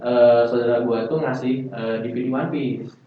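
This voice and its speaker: A young male guest explains things in casual, speech. His voice has a middle pitch and comes through thin, like a phone. He sits far from the microphone in a strongly echoing room. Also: steady tone, normal speed. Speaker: podcast guest, anime fan podcaster. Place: indoor podcast studio. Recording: very echoey, distant, thin phone-like sound, faint noise.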